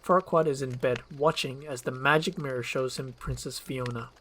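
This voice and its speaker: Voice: medium pitch, fairly even intonation, moderate pace, fairly bright tone, a little hiss or hum. A narrator reading screenplay directions in a scripted ASMR storytelling style.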